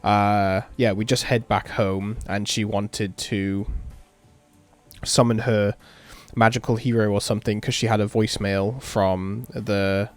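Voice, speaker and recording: A man's voice: on the low side, steady tone, a little slow. A tired man with a sore throat, giving casual, laid-back let's-play commentary and reading a visual novel aloud over game music. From England. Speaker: gaming video host.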